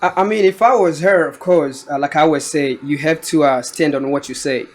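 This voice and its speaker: Male guest, East African accent, higher-than-average pitch, loose conversational style. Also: fairly quick. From East Africa, born in Burundi, grew up in Tanzania. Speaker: returning podcast guest. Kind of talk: casual chat.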